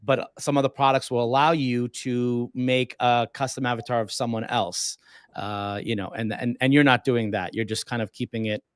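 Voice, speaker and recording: A man hosting a relaxed interview, talking things through with many fillers and restarts. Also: medium pitch, normal speed, clean tone, no room sound, studio quality. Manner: rambling, thinks aloud with fillers. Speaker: host. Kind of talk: casual interview chat.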